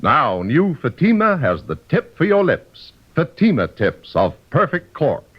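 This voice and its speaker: A man reads a 1950s radio commercial with hugely swooping, animated intonation. The recording is dull and muffled. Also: a little high, a little slow, breathy edge, soft background music. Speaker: commercial announcer. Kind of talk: 1950s radio advertising read. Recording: muffled old radio broadcast.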